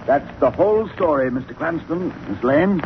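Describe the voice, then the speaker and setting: A man's voice: fairly high pitch, lively intonation, normal speed, faint music behind. An actor playing an anxious, earnest man haunted by a stranger, in 1940s radio style. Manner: earnest, worried. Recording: muffled, very noisy old radio recording.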